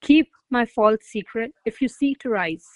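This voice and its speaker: Woman as proud young noblewoman, fairly high voice, teasing, haughty, theatrical period diction, radio play, dry close sound. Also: expressive, measured pace, no echo. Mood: haughty, insistent. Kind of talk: classical verse stage comedy as radio play.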